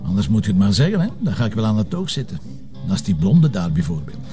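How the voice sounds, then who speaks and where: A man's voice: lowish voice, very animated, quick pace, over music. A man acting a grumbling, sarcastic middle-aged police commissioner in a TV crime drama, with a colloquial, teasing Flemish way of talking. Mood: teasing, prodding.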